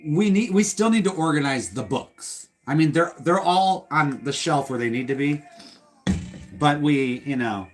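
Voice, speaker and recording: A man's voice: a little high, unhurried, faint music behind. A man co-hosting a live stream, chatting casually and joking with restarts. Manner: lively, joking, with stumbles. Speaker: co-host of a retro livestream.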